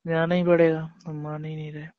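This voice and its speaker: A man's voice: fairly high pitch, monotone, a little fast, no echo. A young man in casual talk with his girlfriend on a phone call, pleading and reassuring. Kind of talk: casual phone chat between a couple. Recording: dull, dry phone call recording.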